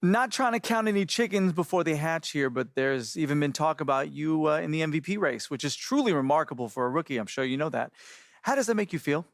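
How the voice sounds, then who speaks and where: A man's voice: a little high, expressive, fairly quick, creaky, no echo, studio quality. A man playing a polite, appreciative, mildly hesitant sports reporter doing an interview in a video game.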